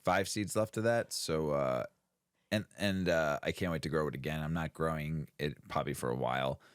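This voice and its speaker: A man's voice: a bass voice, moderate pace, clear, resonant tone, dry recording, clean studio sound. A man co-hosting a casual podcast, talking in a laid-back, rambling way and laughing often. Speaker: podcast co-host. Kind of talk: casual stoner podcast chat.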